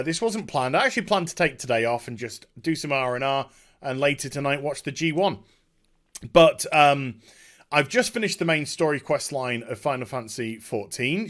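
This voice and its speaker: A man talking casually and off the cuff as a video host, emphatic and excitable, often halting and repeating his words. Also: a little high. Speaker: video host giving a game story discussion. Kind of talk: unscripted casual rambling commentary.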